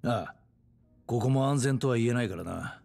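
An actor playing a gruff, world-weary middle-aged detective in a video game cutscene, matter-of-fact in delivery. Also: male voice, medium pitch, normal speed, a little mellow, soft background music, close to an everyday voice. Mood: serious, cautioning. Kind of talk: Japanese game cutscene acting.

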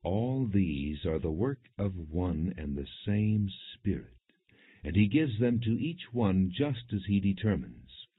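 A man reading scripture as an audiobook narrator, in a measured, solemn, husky voice. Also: deep voice, sing-song, dry recording. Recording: dry, narrow phone-like sound.

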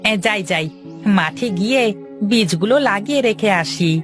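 A woman voicing a naive, hardworking male farmer, trusting and plaintive, in an animated moral story. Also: medium pitch, moderate pace, close to an everyday voice. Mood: hopeful, weary. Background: prominent background music.

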